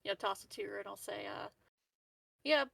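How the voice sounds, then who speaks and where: A woman's voice: mid-range voice, a little fast, vocal fry, a bit bright, no echo. A woman playing a tabletop roleplaying game, improvising casually in an offhand, hesitant way.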